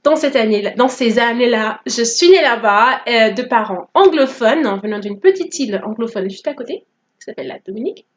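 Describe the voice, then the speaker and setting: A woman's voice: fairly high pitch, lively intonation, a little fast, highs cut off. A woman in her thirties from Guadeloupe, whose first language is English, telling her story in a playful, joking vlog. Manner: playful, joking, code-switching. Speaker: vlogger. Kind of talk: casual testimony vlog.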